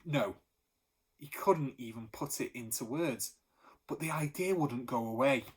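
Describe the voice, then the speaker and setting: Male teacher, husky voice, mid pitch, story read-aloud. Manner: steady, expressive reading, voice lifting for the characters. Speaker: teacher reading to pupils.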